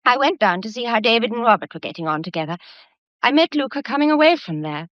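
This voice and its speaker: A woman's voice: on the high side, expressive, fast, no echo, natural voice. An actress playing a plucky, anxious young woman, earnest and hesitant, in a radio mystery drama. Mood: guarded. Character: plucky, anxious young upper-class lady keeping her head. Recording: dull, muffled lo-fi audio.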